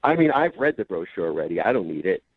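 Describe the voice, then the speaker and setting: Muffled phone caller, man, very quick talker, defensive, hedging, casual. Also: sits low, sing-song, a loud crowd. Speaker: caller on a radio show. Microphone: phone call. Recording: dull, noisy phone-call line.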